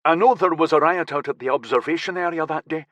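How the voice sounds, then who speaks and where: A man's voice: a little high, lively intonation, moderate pace, dry recording, lo-fi sound, pitched above the performer's own voice. A man doing a frantic, swearing captive. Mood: scornful. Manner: panicked shouting and swearing. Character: terrified, furious young man held captive.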